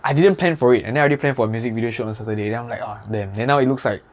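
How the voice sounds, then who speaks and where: A young man with a Singaporean English accent talks quickly and casually as a podcast guest in a studio. He rattles on in a chatty way, dropping Singlish particles, at a fairly ordinary pitch. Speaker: rapper guest.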